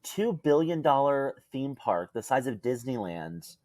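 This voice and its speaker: A woman's voice: rumbling low, sing-song, measured pace, darkish tone, no echo. An American woman co-hosting a podcast in casual chat, reacting with wry, short quips. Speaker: podcast co-host.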